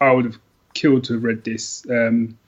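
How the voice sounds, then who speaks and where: Male podcast guest, mid-to-low pitch, informal book talk, slightly lo-fi sound. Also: little pitch movement, moderate pace. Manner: informal and colloquial. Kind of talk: casual book chat.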